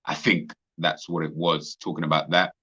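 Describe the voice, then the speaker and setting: A young man, co-hosting a casual football chat, slangy and animated with frequent laughter. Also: very deep voice, normal speed, husky, lo-fi sound. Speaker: podcast co-host.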